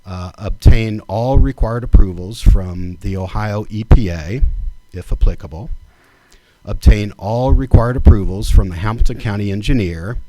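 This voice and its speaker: A man with a fairly low voice reads out a formal proposal, measured and deliberate. The sound is dry and close. He speaks at a public township meeting. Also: mellow, no echo, lo-fi sound. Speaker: township trustee.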